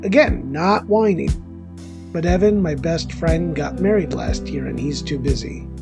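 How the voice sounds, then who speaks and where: An actor playing a bitter, self-deprecating loner in a conversational dramatic monologue, rambling through defensive and resentful asides in a gravelly voice. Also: male voice, on the high side, lively intonation, a little slow, music in the background. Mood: defensive, aggrieved.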